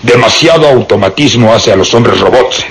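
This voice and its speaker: An actor playing a heavyset, gruff and decisive police inspector in a radio drama, brusque, commanding and easily irritated. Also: male voice, medium pitch, moderate pace, a bit bright. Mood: stern questioning. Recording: noisy radio drama recording.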